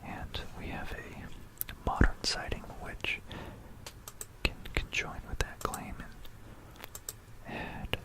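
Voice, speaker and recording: An ASMR host telling history in a breathy, unvoiced whisper, meandering with playful asides to the listener, close to a binaural microphone. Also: takes it slowly, long pauses, poor audio.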